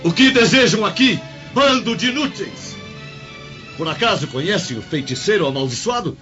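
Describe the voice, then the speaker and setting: An actor playing a cruel, commanding Roman guard chief in a radio drama, scornful and theatrical. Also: male voice, high-pitched, lively intonation, moderate pace. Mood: menacing, sarcastic. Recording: dull recording with music behind. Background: music.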